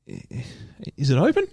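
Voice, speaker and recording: A man's voice: mid-range voice, creaky, darkish tone, breathy edge, no echo, clean studio sound. A man with an Australian accent telling stories in casual podcast banter, laid-back and prone to chuckling as he goes. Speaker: podcast co-host.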